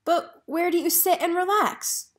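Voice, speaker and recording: Lady doing a happy folksy train engineer guy from a kids book, pitched way up, eager and kinda puzzled. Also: unhurried. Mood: curious. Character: cheerful, folksy, simple-minded train engineer man.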